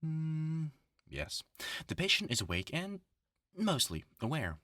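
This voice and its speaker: Man acting a bright, sardonic vengeful test subject. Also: a little high, wildly swooping pitch, takes it slowly, no echo, clean studio sound, exaggerated voice. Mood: mock-clinical menace. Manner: commanding, sardonic, mock-clinical. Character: vengeful escaped test subject turned mock doctor. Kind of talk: horror audio roleplay.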